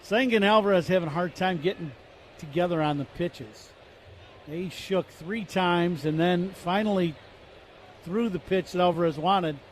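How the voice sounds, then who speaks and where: Male radio color analyst, high voice, conversational and emphatic, light noise behind. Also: normal speed. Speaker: color commentator.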